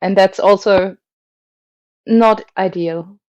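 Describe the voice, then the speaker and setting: A woman's voice: mid-range voice, fairly even intonation, slow pace, darkish tone, dry recording, lo-fi sound. Dry-voiced woman, hesitant opera guest. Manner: thoughtful, hesitant, many fillers and restarts, laughs often. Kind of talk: casual interview chat.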